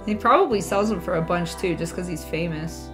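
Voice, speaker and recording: A woman's voice: fairly low pitch, brisk, over music. A young woman streaming from her home room with a sore voice, chatting playfully with her viewers while she plays a game. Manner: chatty, playful, talks to chat. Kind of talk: casual live-stream chat while gaming. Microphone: desk mic set back.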